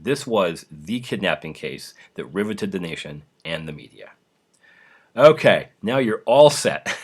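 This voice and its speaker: A man giving a scripted, explanatory introduction as a podcast host. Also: low-pitched.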